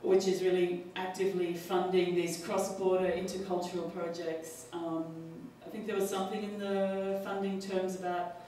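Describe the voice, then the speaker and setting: An Australian woman speaking at a panel discussion, halting often with fillers and restarts. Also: lowish voice, little pitch movement, dark tone. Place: large public hall. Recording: echoey hall, distant mic, faint noise.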